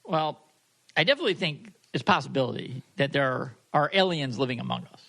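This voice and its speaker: A man co-hosting a podcast in casual banter, joking in a halting, repetitive way. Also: mid-range voice, lively intonation, gravelly, a bit bright. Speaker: podcast co-host.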